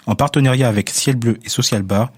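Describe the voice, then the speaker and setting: A man's voice: mid-range voice, fairly quick, darkish tone, husky, no room sound. A man presenting a radio report with scripted narration.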